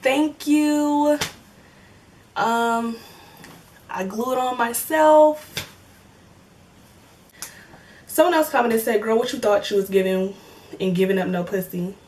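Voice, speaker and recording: A woman's voice: fairly high pitch, takes it slowly, pauses often, a little mellow, recorded from a distance, a little hiss or hum. A woman of twenty in casual chat, answering viewer comments in a joking, slangy way and laughing often. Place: home filming setup with cameras and lights.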